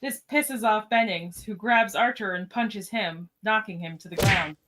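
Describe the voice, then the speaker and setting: A woman's voice: mid-range voice, a little slow, noisy background. A woman reading scripted stage directions as the narrator of a podcast radio play.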